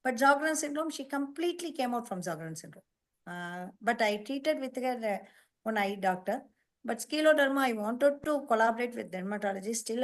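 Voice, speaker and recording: A woman hosting a nutrition program on a video call, talking in a halting, repetitive way with emphatic lists. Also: medium pitch. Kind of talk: casual online meeting talk.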